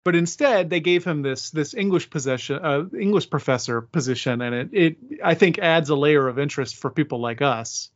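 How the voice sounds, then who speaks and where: A man's voice: fairly high pitch, brisk, hardly any pauses, muffled recording. A man hosting a podcast, moderating a casual academic discussion.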